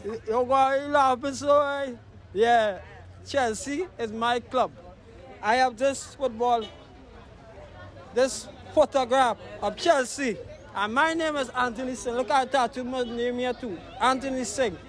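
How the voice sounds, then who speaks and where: A man's voice: extremely high pitch, steady tone, a little slow, fairly bright tone, some chatter behind. A talkative man with a Trinidadian accent, chatting casually as a street interviewee and wandering off the question. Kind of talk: rambling casual chat on the street. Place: outdoors on a street.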